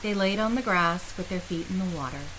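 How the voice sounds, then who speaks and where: A woman's voice: on the low side, brisk, a bit bright, a little hiss or hum, rough recording. A woman reading scripted fantasy narration as a storyteller.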